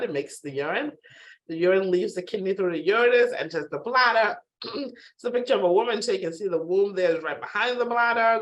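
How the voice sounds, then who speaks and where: A woman physician giving an introductory health webinar over a video call, her voice hoarse from a sore throat, conversational with frequent fillers and throat clearing. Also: medium pitch, poor audio. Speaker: physician presenter. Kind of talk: webinar lecture.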